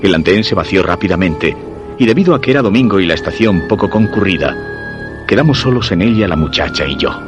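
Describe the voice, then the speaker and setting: A low-voiced shy, obsessed man from a suspense radio play, speaking with a Spain accent. He confesses in measured, literary phrases with lively swings of pitch, over clear background music. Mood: measured, brooding. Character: Guillermo, shy obsessed man secretly following a young woman. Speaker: narrator and lead actor. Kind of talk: first-person suspense radio drama narration.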